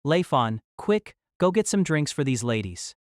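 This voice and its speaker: A man's voice: a little high, very animated, unhurried, no echo, clean studio sound. A man voicing a showy, self-admiring young man in an audio drama who treats others grandly. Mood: boastful. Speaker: voice actor. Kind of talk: fan audio drama acting.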